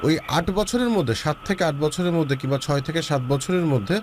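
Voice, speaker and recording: A man's voice: fairly high pitch, brisk, a little hiss or hum. A man hosting a relationship advice show, talking with a young caller and mixing in English words. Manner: lively, advising, mixes in English words.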